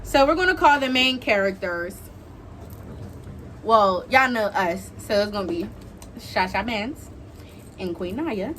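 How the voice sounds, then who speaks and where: A young American woman hosting a casual storytime vlog, talking in an animated, slangy, giggly way. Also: mid-range voice, a little slow, long pauses, slight background noise. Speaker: vlog host.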